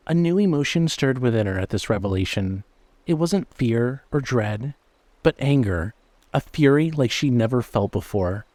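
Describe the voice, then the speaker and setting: A man narrating a scripted horror story in a measured, suspenseful way. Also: medium pitch, a little mellow, dry recording.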